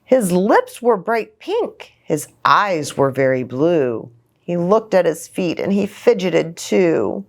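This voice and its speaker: A woman hosting a children's story time, reading a picture book in an expressive, sing-song way and speaking to young children. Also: fairly low pitch, takes it slowly. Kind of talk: animated children's picture-book read-aloud.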